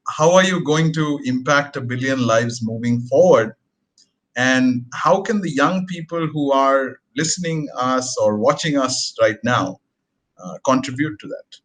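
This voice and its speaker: A male host asks questions in a calm, polite way. His voice sits in the middle of the range, and he sounds measured as he introduces guests. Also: medium pitch. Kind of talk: interview moderation.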